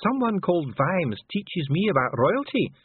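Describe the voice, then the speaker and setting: A man's voice: sits high, sing-song, measured pace, breathy edge, no echo, narrow, tinny audio, pitched above the performer's own voice, exaggerated voice. A man voicing a flustered, indignant dwarf court official in an audiobook, hesitant and muttering. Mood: sullen. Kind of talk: audiobook character voice.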